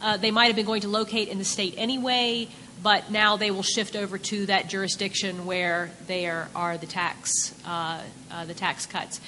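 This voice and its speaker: A woman's voice: mid-range voice, level tone, normal speed, few pauses, sharp tone, slight background noise. A woman on a conference panel explaining tax policy, hesitant and full of filler sounds. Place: conference hall. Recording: echoey conference hall.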